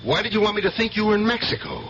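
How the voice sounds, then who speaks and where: An actor playing a gruff, protective older brother and detective in a 1970s radio drama, blunt and dead serious as he questions people. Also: sits high, huge pitch swings, racing, exaggerated voice. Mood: accusing. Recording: muffled 1970s radio broadcast with loud hiss.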